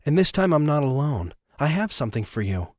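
A man's voice: fairly high pitch, very animated, fairly quick, no echo, studio quality. A man voicing a determined young woman officer in a firm, direct manner. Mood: gentle.

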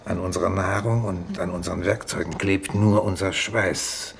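An actor in a 1950s German radio play, playing a resigned, unbending exiled doctor who speaks in measured, grave declarations. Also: male voice, deep voice, fairly even intonation, gravelly, crowd noise. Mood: solemn conviction. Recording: faintly noisy 1950s radio recording.

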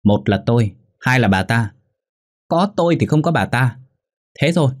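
A man's voice: mid-range voice, expressive, normal speed, voice pushed up, close to an everyday voice. A man voicing a spiteful, domineering middle-aged wife in a narrated story, shrieking and scornful. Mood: scornful, demanding.

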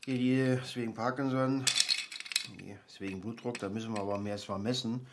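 A male actor plays a flustered, frail old man. He fumbles through his words in a breathy, halting mutter and grumbles as he goes. It is a naturalistic radio drama with clear noise around him. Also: medium pitch, fairly even intonation, close to an everyday voice. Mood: fumbling, explaining. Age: older.

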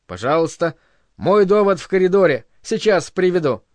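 An excitable, defiant man in an audiobook, acted out by a male reader, flustered yet grand. The voice is raised in pitch and recorded very dry. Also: normal speed, no room sound. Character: defiant, flustered man eagerly answering his accuser.

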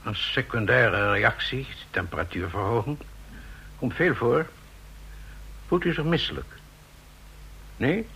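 A self-assured country doctor in a radio play. The voice is husky, gravelly and forceful, chatting on in a jovial, matter-of-fact bedside manner. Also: male voice, medium pitch, lively intonation, slow, pauses often, full character voice. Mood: reassuring. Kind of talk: Dutch radio drama acting.

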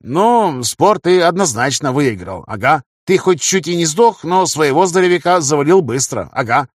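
A man's voice: on the high side, sing-song, moderate pace, dry recording, studio quality, pitched above the performer's own voice. A man voicing a chatty, boastful young fighter in an audiobook, talking in a loose colloquial way. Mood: animated bragging. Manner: colloquial, ends phrases with a verbal tic. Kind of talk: audiobook character dialogue.

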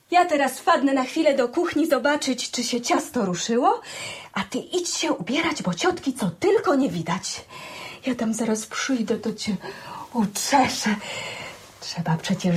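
A woman's voice: on the high side, expressive, a little slow, slightly rough, heavily acted. An actress playing a bustling, fussy middle-aged country wife and mother in a radio play, coaxing in a homely way. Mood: bustling, motherly. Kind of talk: Polish radio play acting.

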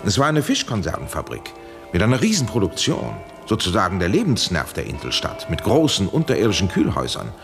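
An actor playing an anxious, authoritative professor in a 1970s German radio drama, urging and imploring in formal speech. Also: male voice, deep voice, wildly swooping pitch, fairly quick, creaky, music in the background, exaggerated voice. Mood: evasive explaining. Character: anxious authoritative male professor pleading urgently by radio link.

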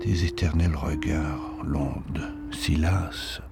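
A man recites verse in a deep, gravelly voice. He takes it very slowly, and loud guitar music plays underneath. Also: fairly even intonation. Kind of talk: slow poetry recitation.

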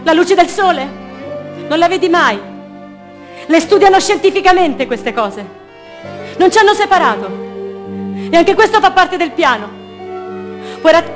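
A young captive woman speaks in a high voice, confiding and bitterly ironic. She is anguished yet defiant, in an intimate radio play monologue with music behind her. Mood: grim, tense. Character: young woman prisoner of a secret torture camp, anguished, defiant. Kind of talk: radio drama monologue, intimate theatrical acting.

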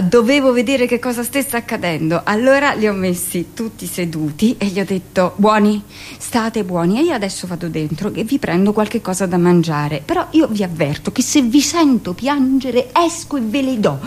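An actress playing a middle-aged village-born midwife in an intimate, expressive theatrical monologue, with dramatic pauses. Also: female voice, medium pitch, husky. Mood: urgent, childlike. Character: 45-year-old village-born midwife, recounting childhood, emotional, earnest.

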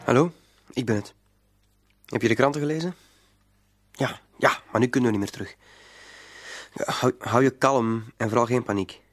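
An actor playing a stubborn, guarded blackmailer in a radio drama, curt and defiant. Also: male voice, mid-range voice, moderate pace, pauses often, faint music behind, close to an everyday voice. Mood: hushed urgency. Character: cagey, defiant male blackmailer, stubborn small-time crook. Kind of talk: radio drama acting in dialogue.

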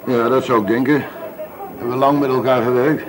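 A man's voice: mid-range voice, steady tone, a little fast, close to an everyday voice, poor audio. An actor playing an easygoing factory worker in a radio play, casual, hesitant and vague in his answers. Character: evasive, easygoing factory worker, café regular. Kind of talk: Dutch radio play acting. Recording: radio play with busy café chatter.

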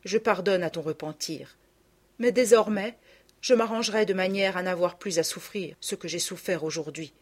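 A woman voicing a noble mother in an audiobook, emotional, gentle and firm. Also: fairly low pitch, normal speed, slightly rough. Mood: gently firm.

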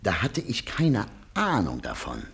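Low-voiced, servile informer. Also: male voice, huge pitch swings, unhurried, a bit bright, full character voice. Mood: ingratiating. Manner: servile, clipped, deferential. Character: obsequious one-eyed male informer, eager and shifty. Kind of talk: German radio play acting.

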